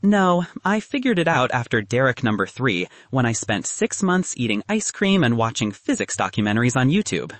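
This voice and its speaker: A man's voice: medium pitch, sing-song, normal speed, hardly any pauses, no room sound, close to an everyday voice. A man voicing a young woman physicist in an audiobook, confident and frank, with a dry, advice-giving manner. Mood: wry, upbeat.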